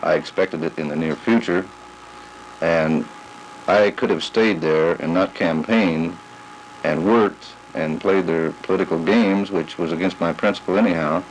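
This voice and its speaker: A man's voice: deep voice, unhurried, rough recording. A man giving an unscripted interview statement for television news, recorded on old videotape. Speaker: fired sheriff's officer being interviewed. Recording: faint noise, old 1970s videotape.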